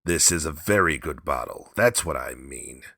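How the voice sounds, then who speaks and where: A man playing a gruff, defiant old drunkard. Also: very deep voice, expressive, normal speed, bright, forceful tone, no room sound, clean studio sound, full character voice. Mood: defiant, loud.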